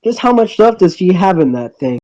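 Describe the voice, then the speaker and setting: A man plays a young male student in a visual novel, bewildered and hesitant. His voice sits a little high and mellow, halting through dialogue and his own narration. The recording is dry and slightly dull. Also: big pitch swings, dry recording. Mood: curious narrating.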